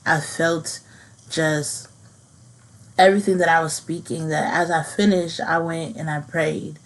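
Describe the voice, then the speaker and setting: A woman in her thirties hosting her own podcast, speaking earnestly and haltingly about something personal, repeating phrases as she works through it. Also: low-pitched, steady tone, low-quality recording. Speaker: podcast host. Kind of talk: personal reflective monologue.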